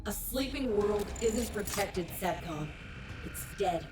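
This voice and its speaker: A woman's voice: medium pitch, expressive, slow. A woman playing a tough, defiant robot fighter in a video game, with blunt, punchy delivery. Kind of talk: scripted video game acting. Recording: echoey, distant, music behind.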